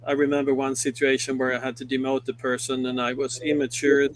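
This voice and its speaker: A middle-aged man from Sweden with a Swedish accent, a company head recounting his life in a live interview, halting and full of fillers and restarts. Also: medium pitch, little pitch movement, a little hiss or hum, poor audio. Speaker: guest, company CEO. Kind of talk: live interview, personal story.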